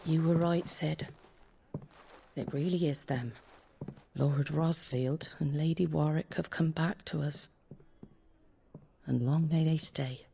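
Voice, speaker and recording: An actress playing a welcoming, loyal ally in a fantasy video game, measured and sincere. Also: female voice, low voice, steady tone, creaky, dark tone, slight background noise, heavily acted. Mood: quietly moved. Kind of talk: dramatic video game voice acting.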